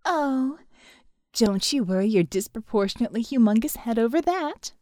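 A woman's voice: high voice, expressive, a little slow, a little mellow, dry recording. A woman voicing a taunting, threatening female villain in an audiobook. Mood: mocking, sweet menace. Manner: mocking, threatening.

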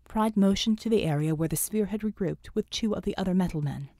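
A woman's voice: mid-range voice, brisk, warm tone, dry recording, clean studio sound. A woman reading scripted fiction as an audiobook narrator, in a dramatic storytelling style.

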